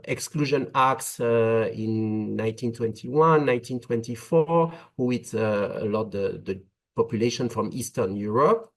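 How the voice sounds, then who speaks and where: A man from France with a French accent, giving a webinar talk on migration policy, speaking in measured phrases with frequent "uh" fillers. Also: medium pitch, poor audio.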